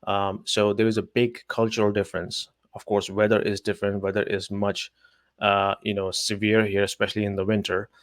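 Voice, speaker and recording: Man, 40s, from Pakistan, low voice, dry recording, hesitant, conversational. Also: level tone, fairly quick, no echo. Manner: thoughtful, many hesitations and restarts. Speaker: telecom executive guest. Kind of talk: conversational interview answers.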